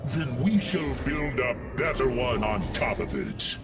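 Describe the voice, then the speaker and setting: A man playing a huge, noble robotic alien warrior in a video game, solemn, reflective and measured. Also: mid-range voice, animated. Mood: resolute. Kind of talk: dramatic video game character acting. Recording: distant, loud music behind.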